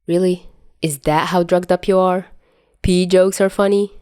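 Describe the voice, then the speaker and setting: Lady reading a protective dad-type guy, teasing n soothing, fond exasperation, soft mellow tone, audio story. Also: lowish voice, steady tone, unhurried, smooth, no echo, clean studio sound, close to an everyday voice. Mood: teasing, exasperated. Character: fondly exasperated, teasing, protective fatherly man.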